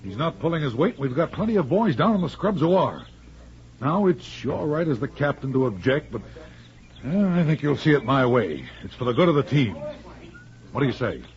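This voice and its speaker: Firm football coach from an old 40s radio show, highish voice, muffled recording. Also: male voice, sing-song, moderate pace. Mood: firm, earnest. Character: brisk, firm male college football coach. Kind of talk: 1940s radio acting.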